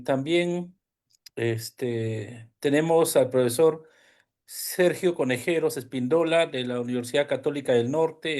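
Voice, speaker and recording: A man moderating an online academic seminar in Spanish, politely and haltingly introducing the next participants. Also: mid-range voice, a little mellow.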